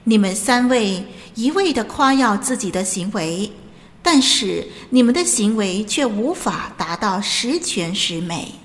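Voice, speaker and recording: A woman playing a solemn angel who judges souls in a radio drama, measured, authoritative and didactic, with music behind her. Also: medium pitch, animated, big-room sound, lightly acted. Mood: stern, solemn. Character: solemn female angel judging souls at heaven's gate. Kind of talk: radio drama acting, preaching delivery. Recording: music bed under the voice.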